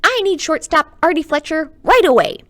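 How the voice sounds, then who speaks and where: A woman's voice: very high voice, very animated, moderate pace, fairly bright tone, pitched above the performer's own voice, heavily acted. A woman reading aloud as a mischievous, eager and earnest young boy in a storybook. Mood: eager demand.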